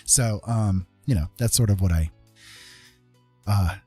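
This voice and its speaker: A man with a husky voice chatting casually as he paints on a live stream, rambling and self-deprecating, often checking that listeners follow. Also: lowish voice, measured pace, dark tone, no room sound, soft background music. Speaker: artist and writer hosting a live stream.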